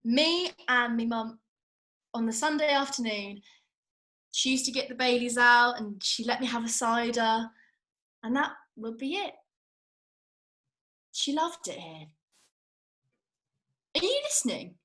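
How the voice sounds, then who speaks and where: A woman's voice: fairly high pitch, lively intonation, unhurried, pauses often, fairly bright tone. A woman acting a feisty, blunt young working-class woman in a comedy script read, colloquial and sweary. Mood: chatty.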